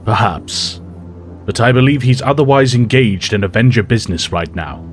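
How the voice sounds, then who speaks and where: An actor playing a calm, dignified male telepathic professor who speaks in a formal, measured way. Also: on the low side, faint music behind, close to an everyday voice.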